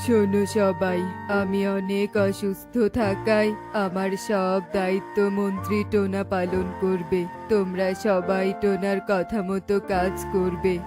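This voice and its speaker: A woman's voice: mid-range voice, little pitch movement, slow, lightly acted. A woman voicing a stately, commanding male eagle king in a cartoon, over music. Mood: solemn. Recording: music behind the voice.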